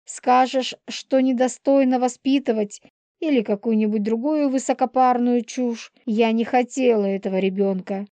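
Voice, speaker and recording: A woman's voice: on the high side, fairly even intonation, measured pace, mellow, dry recording, natural voice. An actress playing a manipulative pregnant young werewolf woman in an audiobook, spiteful, bitter, taunting and theatrical. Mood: bitter, defiant. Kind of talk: dramatised audiobook dialogue.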